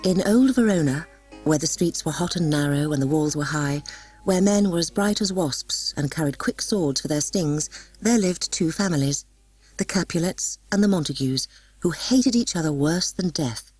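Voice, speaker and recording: A woman reading a scripted storybook as an audiobook narrator, in a husky voice with dramatic, expressive delivery. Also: deep voice, normal speed, soft background music, low-quality recording.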